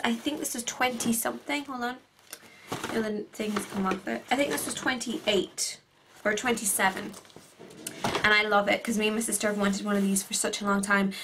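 A bubbly Irish woman in her twenties gushes through a casual haul video, piling on fillers and excited asides. Her voice is a little croaky today. She talks to a camera on the desk in her bedroom. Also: a little high. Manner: bubbly, gushing, chatty with many fillers. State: a bit croaky. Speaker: beauty vlogger. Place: bedroom at home.

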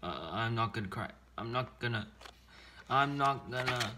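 A man as a gentle, pleading police chief. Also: fairly low pitch, takes it slowly, slight background noise. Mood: sad, tender. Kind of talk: children's storybook read-aloud.

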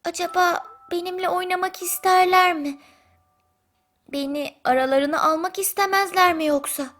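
A woman's voice: extremely high pitch, moderate pace, fairly bright tone, pitched above the performer's own voice. A woman voicing a kind, eager, slightly shy seven-year-old girl in a bedtime storybook, speaking earnestly. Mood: shy, worried. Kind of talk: character lines in a bedtime storybook.

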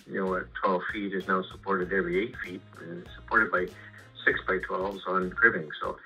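A middle-aged man with a Canadian accent talks casually in a low, very forceful and husky voice. He rambles a little, halting and repeating words as he goes. It sounds like a phone call. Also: steady tone. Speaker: homeowner and sawmill customer. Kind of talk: casual phone chat about his projects. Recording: muffled lo-fi phone call, music underneath. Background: music.

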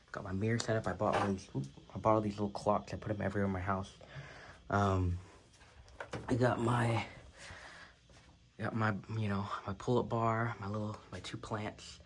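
A young man in his twenties talks loosely to his camera while setting up a home gym, with lots of fillers and casual swearing. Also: lowish voice. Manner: rambling, loose and cursing, with many fillers. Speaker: vlogger. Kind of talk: casual chat. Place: small home gym and room with colored lights, indoors. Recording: clicks and clear room noise.

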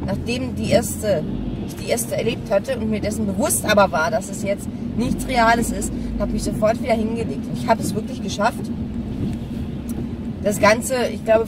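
A middle-aged woman chatting casually in a vlog while driving, explaining things directly to the viewer. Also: mid-range voice. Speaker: vlogger. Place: inside a small car, driving. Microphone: dashboard-mounted camera. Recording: distant mic, loud car noise. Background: car and road noise.

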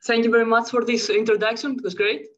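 Fast-talking Greek-accented woman on a call. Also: on the high side, level tone, a bit bright. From Greece. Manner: fast-talking, checks in with the audience. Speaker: academic speaker. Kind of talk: conference paper reading. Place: home room, indoors. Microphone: laptop webcam mic, video call. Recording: muffled lo-fi video call audio.

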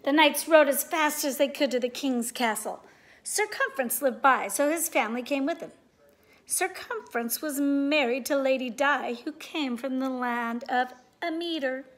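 A woman's voice: high-pitched, moderate pace, a bit bright. A middle-aged woman reading a storybook aloud for children in a living room. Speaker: storybook reader. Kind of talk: children's read-aloud narration. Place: living room at home, indoors.

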